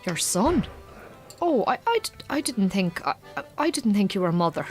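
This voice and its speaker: An actress playing a chatty, kindly middle-aged Irish farmer's wife in a radio drama, with an Irish accent and a frank, colloquial manner. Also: female voice, medium pitch, big pitch swings, moderate pace, faint music behind, natural voice. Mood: curious. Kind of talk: naturalistic radio drama acting.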